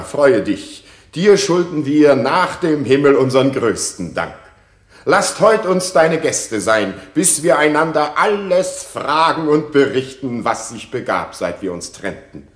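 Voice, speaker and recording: A man playing a remorseful king and father in a classic radio drama, speaking verse in an earnest, theatrical, deliberate way. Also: mid-range voice, big pitch swings, reverberant. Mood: grateful joy. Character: remorseful king and father, reunited with his wife.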